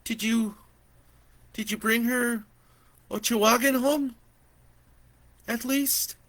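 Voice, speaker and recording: Man voicing an emotional auntie, pleading and tearful, raised high in pitch, bright, storybook reading. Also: steady tone, takes it slowly, exaggerated voice. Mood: hurt pleading. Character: emotional middle-aged aunt, pleading and tearful.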